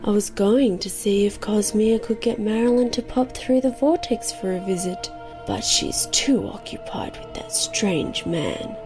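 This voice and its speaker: A woman's voice: medium pitch, expressive, a little slow, close to an everyday voice. A woman playing a kooky, affectionate new-age spiritualist in an audio comedy, speaking earnestly and airily. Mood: earnest, musing. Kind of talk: scripted comedy radio segment monologue. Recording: music behind the voice.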